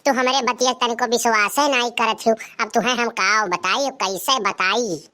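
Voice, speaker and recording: A woman voicing an excitable Awadhi-speaking village woman in a cartoon comedy, emphatic and repetitive as she pleads to be believed. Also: a little high, moderate pace. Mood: protesting. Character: excitable village woman bringing gossip to her elder sister.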